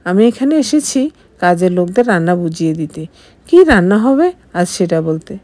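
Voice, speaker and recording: A woman's voice: lowish voice, very animated, moderate pace, darkish tone, no echo. Woman acting a haughty, scornful young wife.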